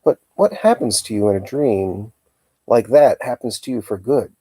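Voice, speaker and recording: A man's voice: fairly low pitch, lively intonation, normal speed, dark tone, breathy edge, no echo. A man reading the part of a thoughtful, brainy young girl in a storybook, reasoning things out aloud.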